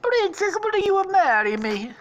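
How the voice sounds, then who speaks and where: Woman doing a high-voiced earnest cartoon plumber hero. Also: very animated, a little slow, lo-fi sound, full character voice. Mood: earnest, nervous.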